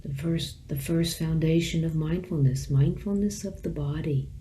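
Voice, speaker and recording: A woman teacher leading a guided meditation, speaking gently in measured phrases with long pauses between them. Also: low voice, slight background noise, rough recording.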